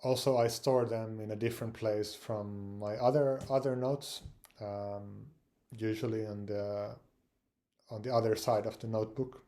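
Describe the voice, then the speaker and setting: A man from Finland giving casual advice on language learning, speaking English with frequent pauses, fillers and repeated words. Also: lowish voice, steady tone, dark tone. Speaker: language learning channel host.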